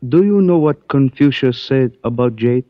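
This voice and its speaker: An actor playing a suave male antique dealer who speaks in stilted, formal phrases, measured and evasive, in 1940s radio style. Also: medium pitch, animated, dry recording, lightly acted. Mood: cryptic, courteous. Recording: dull dry old radio recording.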